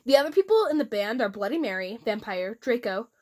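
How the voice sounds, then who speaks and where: High-voiced, theatrical woman reading fan fiction. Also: big pitch swings, a little fast, darkish tone. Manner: giggly, theatrical. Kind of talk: mock-dramatic reading with casual asides.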